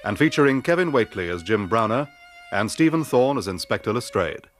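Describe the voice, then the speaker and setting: A man's voice: fairly low pitch, very animated, brisk. A man announcing a radio drama in a scripted title-credit style. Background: violin music.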